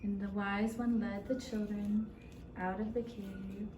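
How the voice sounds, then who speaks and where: A woman telling a scripted story for young children in measured, deliberate phrases with long pauses, recorded in a roomy space away from the mic. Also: medium pitch, level tone, lo-fi sound. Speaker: storyteller for a kindergarten puppet show. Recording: roomy, distant mic with faint noise.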